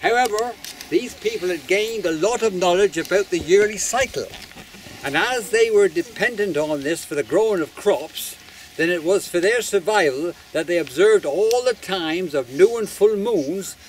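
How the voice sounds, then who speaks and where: Older man, high pitch, measured declamatory delivery, ceremonial address, outdoors with faint noise. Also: lively intonation. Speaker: master of ceremonies, society chairman. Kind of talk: prepared ceremonial speech, read aloud. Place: open hilltop outdoors.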